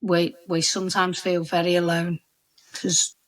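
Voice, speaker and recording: A woman's voice: fairly low pitch, monotone, measured pace. A woman with a Scouse accent hosting a podcast interview, chatty and encouraging, often restarting her sentences. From Liverpool area. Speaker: podcast host.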